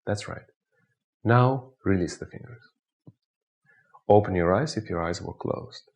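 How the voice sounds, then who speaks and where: A man with a Russian accent, a hypnotist guiding the listener through an anchoring exercise with slow, soothing, repetitive suggestions. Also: low voice, creaky, darkish tone, muffled recording. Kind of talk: guided hypnosis instruction.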